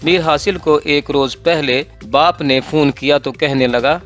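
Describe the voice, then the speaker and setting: A man's voice: on the high side, measured pace, a bit bright. A man with a Pakistani accent reading a political column as scripted narration. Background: background music.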